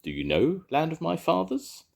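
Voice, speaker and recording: A man voicing a small, cheerful, red-hot male dragon with a high, eager, polite voice in a storybook. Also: wildly swooping pitch, moderate pace, vocal fry, darkish tone, full character voice.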